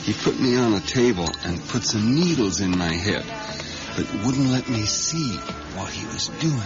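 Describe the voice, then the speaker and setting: An actor in a 1980s radio drama playing an odd, haunted kitchen worker who tells his story in a halting, brooding way. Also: on the low side, animated, close to an everyday voice. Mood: haunted, insistent. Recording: dull 1980s radio drama recording, music.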